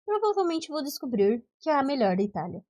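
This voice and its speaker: A Brazilian woman reading the part of a gentle, teasing young male student in an audiobook, with friendly, playful banter. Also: high voice, big pitch swings, brisk, pitched above the performer's own voice. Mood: wry, self-deprecating.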